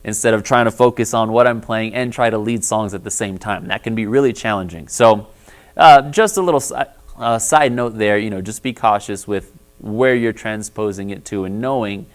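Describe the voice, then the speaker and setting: A man with a lower bass-baritone voice, teaching a church music lesson in a friendly, explanatory way and often checking in with "all right?". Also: moderate pace. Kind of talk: instructional video lesson.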